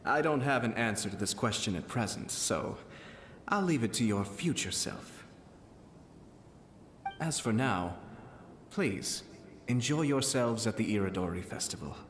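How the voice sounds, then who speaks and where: Low-voiced, composed, refined nobleman. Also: expressive, fairly bright tone, a little hiss or hum. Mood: courteous. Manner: measured, formal, knowing. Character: composed, refined, shrewd young nobleman who keeps secrets. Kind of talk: anime game voice acting, storytelling dialogue. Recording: echoey, game music behind.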